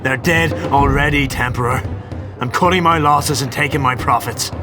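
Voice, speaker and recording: Man playing a cynical mercenary over clear music. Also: mid-range voice, normal speed, close to an everyday voice. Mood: brusque, urgent. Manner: brash, sardonic, flippant. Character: cynical, ruthless, foul-mouthed male mercenary leader. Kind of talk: audio drama acting.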